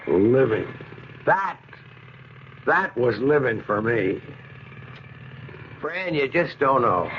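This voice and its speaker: Brooding, defeated blue-collar husband, fairly high voice, halting speech, radio drama, muffled hissy recording. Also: male voice. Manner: stammering, brooding working-man's talk. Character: bitter, restless injured male ex-riveter husband. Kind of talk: 1970s radio drama acting.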